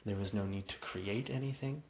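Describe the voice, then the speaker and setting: A man guiding a yoga nidra meditation, speaking in gentle, invitational phrases with long pauses. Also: on the low side, creaky. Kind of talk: guided meditation narration. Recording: dull, muffled lo-fi audio.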